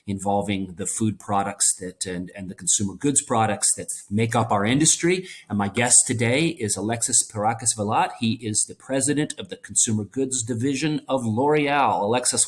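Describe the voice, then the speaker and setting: A man hosting a business interview podcast, friendly and welcoming, talking in long run-on sentences. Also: lowish voice. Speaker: podcast host. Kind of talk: conversational interview.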